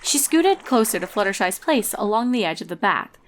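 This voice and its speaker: A woman's voice: mid-range voice, sing-song, fairly quick, slight background noise. A woman reading fan fiction aloud as a scripted story narrator.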